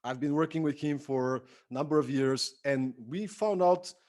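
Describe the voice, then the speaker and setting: A man's voice: fairly high pitch, moderate pace, a little mellow. A man from Bulgaria answering conversationally as an interview guest, with an enthusiastic manner and emphatic phrasing. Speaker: podcast guest, entrepreneur and productivity speaker.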